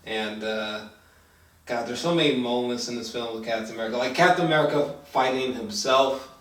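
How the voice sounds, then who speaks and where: A man giving a casual film review as host, talking in a halting, informal way and swearing freely. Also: medium pitch. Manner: halting, filler-heavy, swearing, animated when excited. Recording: echoey room, distant from mic.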